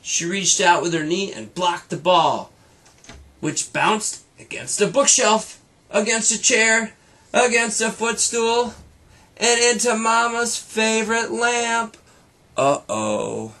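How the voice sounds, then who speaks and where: A man's voice: high-pitched, slow, bright, forceful tone. A man, a teacher, reading a children's storybook aloud to students with casual little asides.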